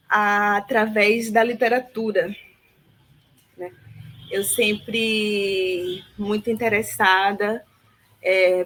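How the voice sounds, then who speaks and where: Slow, thoughtful woman. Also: medium pitch, fairly bright tone, rough recording. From Salvador, Bahia, Brazil. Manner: thoughtful, many fillers and tag questions. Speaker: guest, philosophy teacher. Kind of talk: reflective interview answer.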